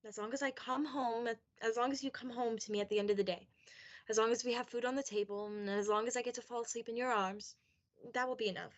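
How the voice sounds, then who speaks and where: A woman's voice: fairly high pitch, fairly even intonation, quick pace, muffled recording, close to an everyday voice. A young woman playing a devoted, anxious young wife in a period radio play, with an earnest, dramatic delivery. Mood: loving, insistent. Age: young adult.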